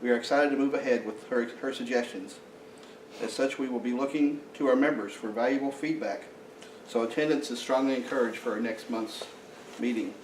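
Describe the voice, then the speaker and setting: A man's voice: mid-range voice, a little hiss or hum. A man who is president of a local society, making meeting announcements and introducing a speaker, with many fillers and restarts. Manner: halting, frequent uh fillers and restarts. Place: meeting room. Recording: echoey room, mic from far off.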